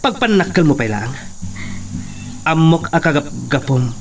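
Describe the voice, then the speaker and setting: A man's voice: a little high, very animated, unhurried. A man playing a taunting, jealous henchman in an Ilocano radio drama, with an Ilocano accent. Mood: taunting, menacing. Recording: distant mic, faint background noise.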